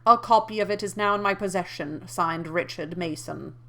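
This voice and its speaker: A woman voicing a calm male lawyer who reads formally and deliberately in an official nasal voice. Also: lowish voice, slight background noise. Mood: officious.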